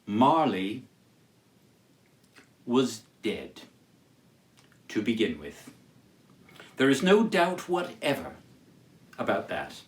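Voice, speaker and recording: Slow, theatrical storytelling man with sweeping intonation. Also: medium pitch, very animated. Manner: deliberate, declamatory, formal, with dramatic pauses. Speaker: storyteller reading as Charles Dickens. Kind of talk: theatrical literary reading with introduction.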